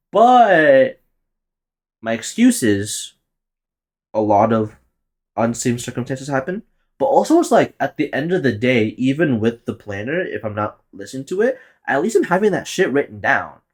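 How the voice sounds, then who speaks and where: A young man near twenty hosts his own podcast alone, rambling through his plans in a loose, profane, offhand way. His voice sits in an ordinary middle pitch, and he often laughs at his own jokes. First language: Tagalog. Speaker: podcast host. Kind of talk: casual solo podcast monologue.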